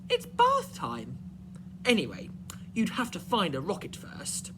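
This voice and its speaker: A man's voice: high voice, huge pitch swings, unhurried, pitched above the performer's own voice, heavily acted. A man voicing an exasperated but fond mother bear in a children's storybook, scolding emphatically. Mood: firm. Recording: clearly noisy background.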